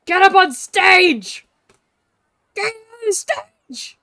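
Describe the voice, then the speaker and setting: A woman's voice: extremely high pitch, big pitch swings, extremely slow, pushed and bright, no echo. A young woman livestreaming with casual, irreverent game commentary, sarcastic and sweary.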